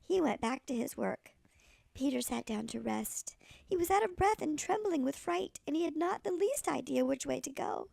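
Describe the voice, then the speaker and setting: Tiny elderly granny storyteller, played by a woman: high-pitched, very gravelly, expressive picture-book read. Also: moderate pace, no echo, heavily acted. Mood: storytelling. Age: older. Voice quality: creaky, gravelly. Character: tiny granny, a little old lady storyteller. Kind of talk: expressive children's storybook reading.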